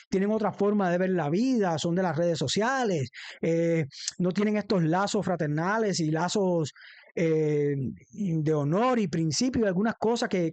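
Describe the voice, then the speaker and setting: A man's voice: high voice, a little mellow, dry recording. A man with a Cuban accent speaking as a livestream host in an impassioned political monologue, emphatic and rhetorical, repeating phrases for weight. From Cuba. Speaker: livestream host, former defense lawyer.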